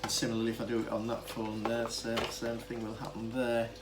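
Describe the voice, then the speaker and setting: Man explaining haltingly, noisy recording. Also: medium pitch, completely flat delivery. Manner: hesitant, rambling, self-interrupting explanation. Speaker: hobbyist demonstrator of vintage telephone equipment. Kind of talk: informal unscripted demonstration. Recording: clearly noisy amateur camera recording.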